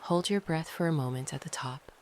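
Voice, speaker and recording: A gentle, breathy, often whispering meditation guide speaking slowly and calmly, with long pauses between phrases. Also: mid-range voice. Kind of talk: slow, soothing guided meditation narration. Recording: noisy, ambient sound behind the voice.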